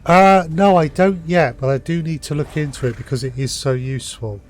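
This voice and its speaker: A man from the UK live streaming a game, chatting casually and helpfully with hesitant pauses. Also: on the high side. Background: game music.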